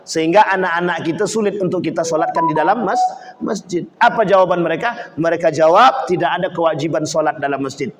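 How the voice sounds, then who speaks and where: A high-pitched male preacher delivers a lively sermon. He acts out the voices of the people he quotes and turns to his congregation with questions. His voice carries a long reverb from a large hall.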